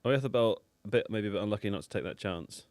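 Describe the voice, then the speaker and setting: A man's voice: fairly low pitch, darkish tone, no echo. A man hosting a live football watchalong, chatty and playful, talking through the match with his co-hosts in a studio. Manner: chatty, playful, quick to joke. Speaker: host.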